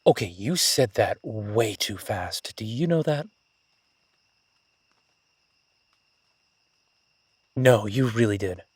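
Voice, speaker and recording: A man playing an excitable, nerdy young academic on his first jungle expedition in a roleplay audio drama, gushing, stammering and apologetic. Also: on the low side, unhurried, breathy edge, no room sound. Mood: conversational, wary. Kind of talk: immersive roleplay audio drama, one-sided conversation.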